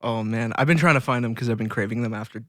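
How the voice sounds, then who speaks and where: A man's voice: mid-range voice, fast talker, fairly bright tone, no echo, clean studio sound. A man co-hosting a podcast in casual, slangy chat, enthusiastic about the things he likes. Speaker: podcast co-host. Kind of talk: casual chat between friends.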